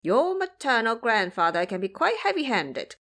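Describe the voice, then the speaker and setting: A woman puts on a little monkey warrior for an audio drama. He is cocky and taunting, with a high voice that bounces widely. Every phrase comes out as a sneering tease. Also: big pitch swings, fairly bright tone. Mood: smug. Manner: sneering, teasing, drawn-out taunts.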